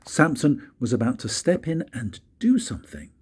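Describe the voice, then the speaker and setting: An older man reads a children's story like a grandfather, in an expressive, deliberate way with dramatic pauses and a husky voice. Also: medium pitch. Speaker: grandfather reading stories for his grandchildren.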